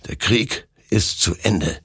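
A man's voice: fairly low pitch, takes it slowly, creaky, fairly bright tone, slightly rough, no room sound, studio quality, exaggerated voice. A man voicing an all-knowing, menacing ghostly rider of death in an audio story, solemn and accusing. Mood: triumphant.

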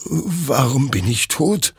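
Brief: man doing a shaken soldier, high-pitched, raspy, slow. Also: expressive, dry recording, clean studio sound, exaggerated voice. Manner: pleading, stammering. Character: desperate weeping male soldier.

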